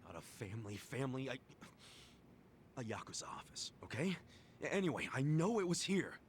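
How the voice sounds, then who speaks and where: A man's voice: mid-range voice, big pitch swings, moderate pace, slight background noise, lightly acted. A man voicing a brash, earnest ex-convict yakuza in an English video game dub, speaking bluntly and informally. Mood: insistent, flustered.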